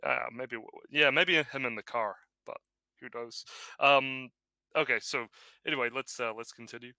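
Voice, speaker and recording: A man co-hosting a podcast, speaking haltingly with frequent restarts. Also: mid-range voice, pushed and bright, dry recording, clean studio sound. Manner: halting, many restarts and fillers. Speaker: podcast co-host. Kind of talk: casual political chat.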